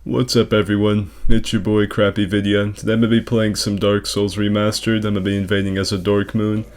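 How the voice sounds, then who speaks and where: Low-voiced man doing sarcastic game commentary. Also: little pitch movement, a little fast. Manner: sarcastic, crude, hyperbolic. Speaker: gaming video host. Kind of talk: casual, profane comedic game commentary.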